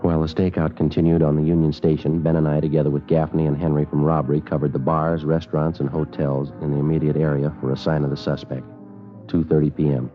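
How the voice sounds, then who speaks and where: An actor playing a terse, level-headed police detective, narrating and talking in a clipped deadpan for a 1950s radio crime drama. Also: male voice, rumbling low, fast talker. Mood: deadpan, businesslike. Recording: dull vintage 1950s radio recording, music.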